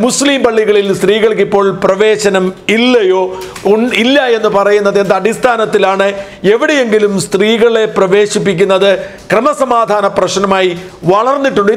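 A man, presenting news commentary to camera from a small studio, explaining at length with frequent "eh" fillers and restarts. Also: high-pitched. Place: small indoor studio office.

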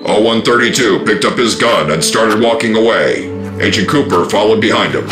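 A man's voice: on the low side, animated, normal speed, over music. A man giving dramatic scripted narration in the manner of an old-time radio announcer. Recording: roomy narration over loud score.

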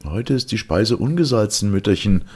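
A man's voice: fairly low pitch, animated, moderate pace, darkish tone, husky, pitched above the performer's own voice. Man acting a pleading, henpecked woodcutter. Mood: timid complaint. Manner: pleading, placating. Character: poor, henpecked woodcutter husband, pleading with his wife. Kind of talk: storybook character voice in a folktale reading.

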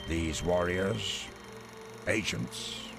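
An actor playing a dignified II intelligence colonel, giving formal, measured, dryly wry briefings for a video game. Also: male voice, low-pitched, gravelly, exaggerated voice. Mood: solemn, proud. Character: dignified, dutiful American World War II intelligence colonel. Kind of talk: scripted briefing narration. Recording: music under the voice. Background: background music.

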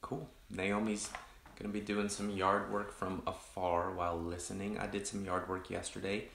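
A man, a pastor casually chatting with online viewers in a rambling, reflective way, his voice deepened by allergies. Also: flat delivery, normal speed, gravelly, a bit bright, echoey room, a little hiss or hum. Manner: rambling, many restarts and fillers, greets viewers by name. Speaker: pastor hosting an online coffee chat.

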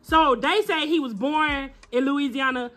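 A woman from Tennessee with a Southern accent, vlogging and arguing playfully with friends, loud and laughing. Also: high-pitched, a little slow. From Chattanooga, Tennessee. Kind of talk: casual chat with friends.

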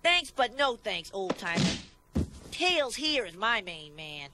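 A man's voice: high voice, very animated, slow, very noisy, heavily acted. A man playing a cocky, wisecracking young hedgehog hero in a cartoon, brash and sarcastic. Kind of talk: animated cartoon acting. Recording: music behind, old cartoon soundtrack.

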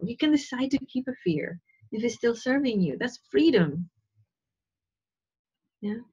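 A woman's voice: mid-range voice, a little mellow, breathy edge. A woman in her fifties giving a casual spiritual talk from her living room over a video call, earnest and rambling, checking in with "right?" and "you know". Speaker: spiritual teacher. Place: living room at home, indoors. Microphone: wired earphone mic on a video call. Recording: dull lo-fi call audio.

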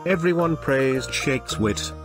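A man's voice: mid-range voice, animated, slow pace. A man narrating a children's story from a script. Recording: music under the voice. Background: background music.

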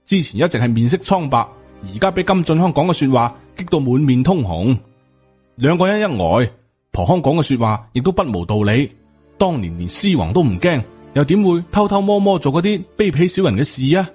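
A man's voice: a little high, sing-song, a little fast, darkish tone, breathy edge. Male audiobook narrator, Hong Kong Cantonese accent, scripted storytelling over background music. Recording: music under the voice.

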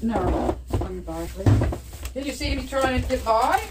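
A woman's voice: high-pitched, animated, measured pace. An older woman from Scotia, chatting and teasing in a casual home vlog filmed on a phone held at arm's length. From Nova Scotia, Canada. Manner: chatty, teasing, laughs often. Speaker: vlogger. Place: parked truck, then a home kitchen. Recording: noisy phone recording.

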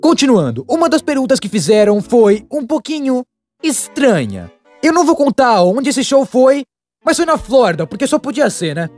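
A man plays an excitable young Brazilian cartoon storyteller. His voice sits very high and swings around with lively, comedic delivery. Light music plays behind his wry, chatty narration. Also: moderate pace. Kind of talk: animated storytime narration, dubbed.

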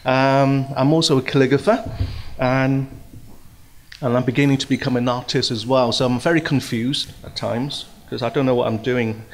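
A man's voice: medium pitch, steady tone, normal speed, slight background noise. A middle-aged man from Hong Kong giving a hesitant talk at a symposium, with many fillers and restarts, into a stand microphone in a large hall. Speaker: speaker at a symposium. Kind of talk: conference talk.

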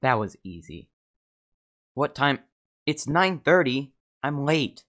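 A man's voice: medium pitch, very animated, slow pace, fairly bright tone, faint music behind. A man voicing a teenage schoolboy in an amateur game movie, laid-back and a bit cocky. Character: teen schoolboy Jacob, cocky yet guarded.

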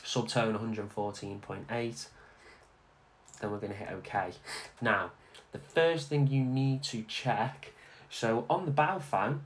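A man giving a casual, informal software tutorial, instructing step by step and repeating himself as he goes. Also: fairly low pitch. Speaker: tutorial host. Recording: clear background noise.

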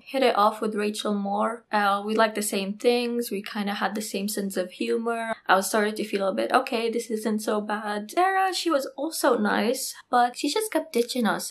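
A young woman from Saudi Arabia whose first language is Arabic, telling a story to camera in a small room and acting out the dialogue. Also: medium pitch. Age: young adult. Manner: animated storytelling, acts out quoted dialogue. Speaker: architecture vlogger. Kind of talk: storytime monologue.

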